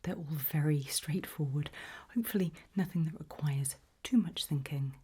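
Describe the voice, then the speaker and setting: A woman playing a friendly, forgetful research scientist in an ASMR roleplay, speaking in a hesitant, polite, breathy whisper. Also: deep voice, moderate pace. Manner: hesitant, polite, soft-spoken.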